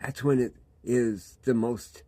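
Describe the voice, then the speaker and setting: A man, a pastor giving a reflective devotional talk with pauses and restarts. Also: mid-range voice, steady tone, mellow. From Michigan, USA. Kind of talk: devotional sermon.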